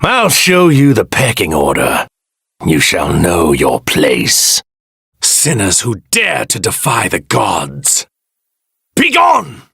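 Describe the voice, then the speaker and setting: A man voicing an arrogant, evil god in a stolen warrior body for an anime game, pompous, theatrical and menacing, with a husky, gravelly voice. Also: fairly low pitch, wildly swooping pitch, slow, a bit bright, dry recording, clean studio sound, exaggerated voice. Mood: haughty contempt, then enraged. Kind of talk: anime video game voice lines.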